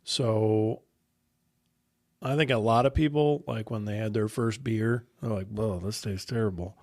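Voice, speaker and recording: A man in his thirties co-hosting a podcast, speaking in a measured, reflective way. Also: fairly low pitch, fairly even intonation, darkish tone, no echo, clean studio sound. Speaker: podcast co-host. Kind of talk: casual podcast chat.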